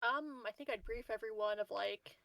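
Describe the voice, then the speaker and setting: Woman playing a tabletop rpg, highish voice, casual jokey swearing, dry close sound. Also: normal speed, creaky, a bit bright, dry recording. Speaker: tabletop game player. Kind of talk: casual in-character banter.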